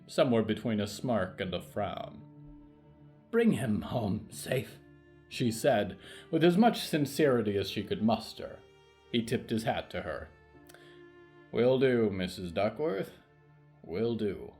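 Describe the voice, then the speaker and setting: A man narrates from a script for an audio fiction show. His delivery is theatrical and deliberate, with a lively range of pitch, and music plays behind him.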